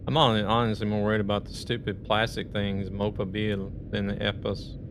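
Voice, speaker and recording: Low-voiced male streamer, chatty. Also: level tone, brisk, background noise. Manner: rambling, conversational, greets chat viewers. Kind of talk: casual live-stream chat while playing a truck game.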